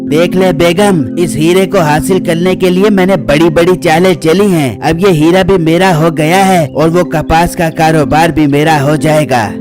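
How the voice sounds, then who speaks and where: A man's voice: fairly high pitch, fairly even intonation, normal speed, few pauses, close to an everyday voice. A man voicing a sly, greedy, boastful male employee in a children's cartoon. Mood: smug. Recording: music under the voice.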